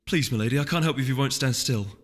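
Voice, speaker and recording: A man's voice: mid-range voice, quick pace, natural voice. A man voicing a polite, anxious stallion attaché who serves a noble in a fantasy storybook, mild and placating. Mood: patient. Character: deferential, fussy, anxious stallion servant and attaché. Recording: long reverb, music underneath.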